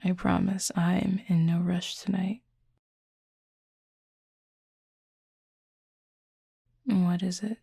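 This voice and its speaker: Woman as a husky, gravelly, flirty werewolf. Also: lowish voice, level tone, unhurried, warm tone, dry recording, studio quality, exaggerated voice. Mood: playful, coaxing. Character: flirty, teasing werewolf pack leader, confident and protective. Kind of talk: intimate audio roleplay.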